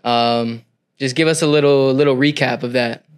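A man's voice: mid-range voice, steady tone, normal speed, smooth. A man hosting a podcast, introducing his guest in a loose, casual way with a few fillers. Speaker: podcast host.

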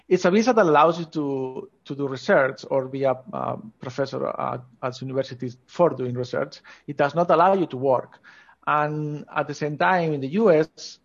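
A man's voice: on the high side, highs cut off. A man from northern Spain with a Spanish accent, talking enthusiastically and informally as a guest in a conversational interview. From Asturias, northern Spain. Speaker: podcast guest, scientist.

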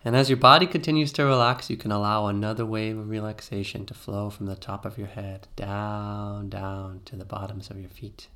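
A man with a fairly low voice leads a guided meditation. He gives soothing, gentle instructions and leaves pauses between phrases.

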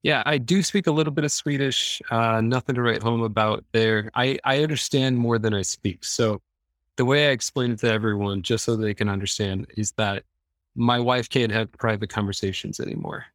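A man's voice: mid-range voice, normal speed, a bit bright, dry recording. Easygoing Midwestern American man, dry close sound. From Iowa, American Midwest. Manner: easygoing, joking. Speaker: podcast guest. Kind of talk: casual chat, personal storytelling.

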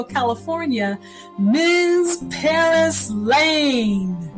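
Higher-pitched woman hosting over music. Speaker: radio show host. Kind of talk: scripted radio show introduction.

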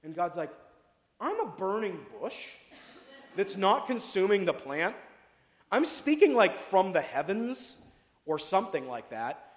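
A pastor preaching a casual sermon, chatty and jokey, full of asides and questions to the congregation. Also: male voice, sits high, big pitch swings, a little slow, long pauses. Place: church sanctuary. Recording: echoey hall with long reverb.

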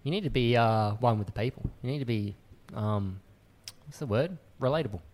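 A soft-voiced man with a medium pitch. He co-hosts a podcast in loose, casual conversation, speaking bluntly and swearing often. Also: fairly quick. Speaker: podcast co-host. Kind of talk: casual banter chat.

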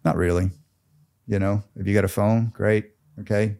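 Man acting as boastful real estate agent, American accent, low voice, deadpan, halting, crude, comedy interview. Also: vocal fry. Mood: cocky, deadpan. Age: around 40. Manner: cocky, deadpan, swearing, halting. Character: boastful, crude, self-styled champion real estate agent around forty.

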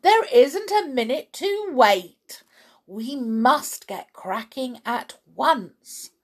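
A woman voicing an excitable, overbearing father in a children's story, exclaiming with bossy, impatient enthusiasm. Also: high-pitched, slow, pitched above the performer's own voice, full character voice. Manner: exclamatory, bossy, breathlessly eager.

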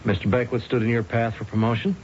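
An actor playing a persistent police detective with halting, deliberate questioning in a radio drama. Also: male voice, medium pitch, level tone, a little mellow, lightly acted. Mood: probing. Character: persistent, hesitant-sounding police detective quietly probing his suspect. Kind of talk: 1970s radio drama acting. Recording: muffled, hissy old radio broadcast.

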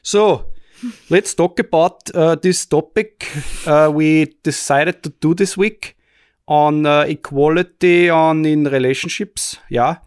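A man putting on a heavy Austrian accent in English, speaking stiffly and overly properly with halting fillers. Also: a little high, steady tone, smooth, dry recording, studio quality, voice pushed up. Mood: mock-formal.

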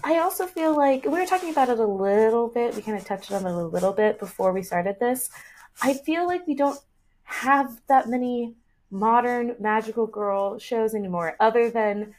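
A woman's voice: fairly high pitch, moderate pace, a little mellow. A young adult woman as a podcast guest, chatting casually and enthusiastically about anime in an informal way.

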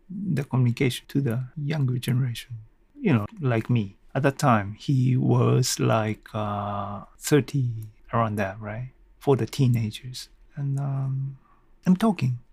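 A middle-aged man hosting a casual solo podcast, thinking aloud haltingly in non-native English phrasing. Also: mid-range voice, darkish tone, breathy edge, no echo. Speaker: podcast host.

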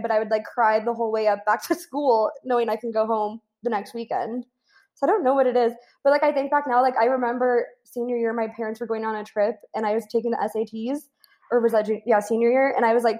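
A woman's voice: fairly high pitch, a little mellow. Candid young woman, chatty. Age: 20s. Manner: candid, many "like" fillers. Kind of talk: casual chat.

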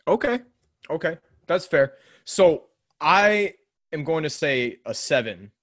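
A young man co-hosting a casual chat about a TV show, animated and quick to laugh. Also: a little high, no echo, clean studio sound. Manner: animated, laughs often, does mock voices in retelling. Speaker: podcast co-host. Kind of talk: casual chat reviewing a TV episode.

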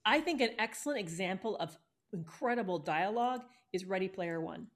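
A woman's voice: mid-range voice, expressive, brisk. A woman in a casual chat, giving advice on writing and affirming often. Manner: encouraging, gives advice, many affirmations.

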